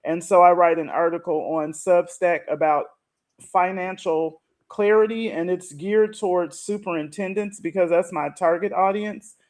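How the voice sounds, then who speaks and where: A woman's voice: lowish voice, fairly even intonation, normal speed, darkish tone. A middle-aged woman speaks as a guest coach in a casual, explanatory chat. She talks in a conversational way, laying things out point by point. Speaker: guest, retirement and money coach who writes articles.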